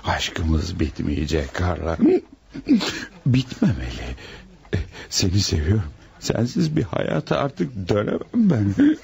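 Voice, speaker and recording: Radio drama, male: tipsy scheming lover, low gravelly voice, swooping intonation. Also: sing-song, takes it slowly, a little mellow, exaggerated voice. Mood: pleading, impassioned. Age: adult, not old. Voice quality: husky, gravelly. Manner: slurred, impassioned, chuckling drunkenly. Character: drunk, besotted, scheming male lover of married woman. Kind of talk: radio play acting.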